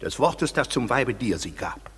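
A vehement old nobleman, a father in a stage-style radio drama, his husky voice leaping wildly from low growls to high outbursts. The words ring with long reverb, as if spoken in a large hall. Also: big pitch swings, measured pace, fairly bright tone, heavily acted. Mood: furious. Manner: vehement, demanding punishment. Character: stern aging father, nobleman, quick to anger. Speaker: father of a young woman. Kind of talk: classic radio play acting.

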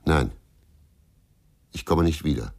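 An actor playing a bitter, brooding former police investigator in a radio crime drama, speaking tersely. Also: male voice, rumbling low, takes it slowly, noisy background. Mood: wary, brooding. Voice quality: gravelly.

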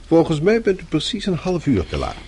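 A man's voice: on the high side, wildly swooping pitch, a little fast, creaky, darkish tone, a little hiss or hum, heavily acted. A man acting in a radio play as a stern, middle-aged boss who speaks formally with dry sarcasm. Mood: curt, stern. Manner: formal, clipped, dryly sarcastic. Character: stern, sardonic middle-aged male boss, fatherly and disapproving.

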